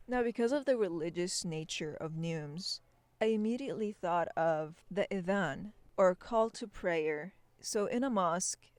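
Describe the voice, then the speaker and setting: A woman hosting a podcast, reading scripted narration in a calm, explanatory manner on a close mic. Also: lowish voice, no room sound. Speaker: podcast host.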